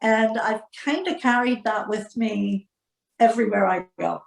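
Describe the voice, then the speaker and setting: A woman's voice: fairly high pitch, a little slow, vocal fry. A woman from the northeast of England with a northern English accent, talking reflectively and casually as an artist in an interview, thoughtfully searching for words. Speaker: textile doll artist being interviewed. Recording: roomy, echoing sound.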